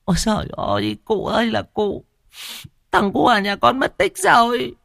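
A man voicing a stout, frantic village woman who wails and cries in a shrill, shouting voice. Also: big pitch swings, brisk, no room sound, studio quality, heavily acted. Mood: wailing. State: crying.

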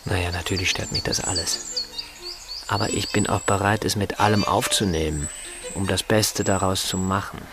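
An actor in a German radio drama playing a bitter, sardonic husband in a wheelchair who lectures and rationalizes with emphasis. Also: male voice, low voice, fairly even intonation, normal speed, music in the background. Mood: cool, resigned.

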